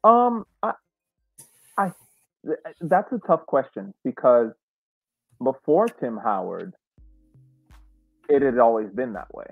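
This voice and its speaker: An American man co-hosting a sports podcast, giving casual, measured analysis point by point over thin, phone-like audio. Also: medium pitch, big pitch swings, gravelly, no room sound, faint music behind.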